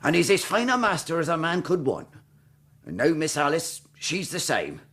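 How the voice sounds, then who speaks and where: Husky, hot-tempered English countryman. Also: fairly high pitch, sing-song, normal speed, fairly bright tone, full character voice. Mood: indignant. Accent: rural English. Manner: rustic, indignant. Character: loyal, resentful, hot-tempered male country lodge keeper. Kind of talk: radio drama acting.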